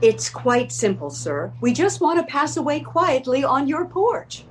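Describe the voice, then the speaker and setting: A woman's voice: high voice, big pitch swings, measured pace. A woman playing a determined, eccentric old lady in a comic readers theater piece, speaking in a formal, matter-of-fact, bossy way. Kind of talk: comedic readers theater acting.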